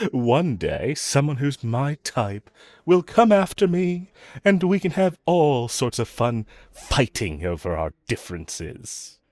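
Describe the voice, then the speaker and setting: A man playing a smug, flirtatious villain in an audio roleplay, teasing and coaxing the listener with self-assured, drawn-out phrasing. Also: medium pitch, sing-song, a little mellow, dry recording. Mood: flirtatious, boastful.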